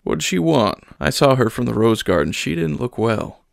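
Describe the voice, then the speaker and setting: A man voicing a blunt, plain-spoken churchman who talks in a dry, unhurried way. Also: medium pitch, lively intonation, vocal fry, no room sound, pitched above the performer's own voice. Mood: matter-of-fact.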